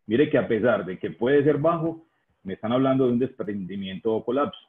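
Man, deliberate lecture, "eh" fillers, phone-like sound. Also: mid-range voice. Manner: deliberate, explanatory, frequent "eh" and "¿sí?" checks. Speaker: engineering presenter at a trade fair webinar. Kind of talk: technical webinar presentation. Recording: tinny phone-like line.

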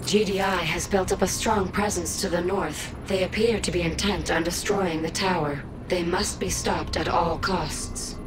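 A woman voicing a calm alien battle computer in a strategy game, giving clipped, impersonal status announcements. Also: lowish voice, moderate pace, hardly any pauses, over music, natural voice, low-quality recording.

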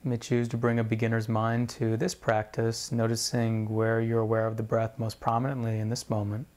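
A man leading a guided meditation as a teacher, speaking in a measured, deliberate way with pauses between phrases. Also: lowish voice, monotone, darkish tone.

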